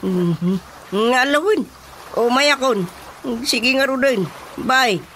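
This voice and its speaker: Bright-voiced, swooping excitable father. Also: very high voice, takes it slowly, a little hiss or hum, heavily acted. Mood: grumbling. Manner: exclamatory, full of muttered interjections. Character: excitable older father, easily astonished and indignant. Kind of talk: radio drama comedy acting.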